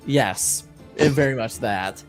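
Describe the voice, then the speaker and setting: A man improvising casual dialogue in a tabletop roleplaying game, speaking in a hesitant, halting way. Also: mid-range voice, soft background music.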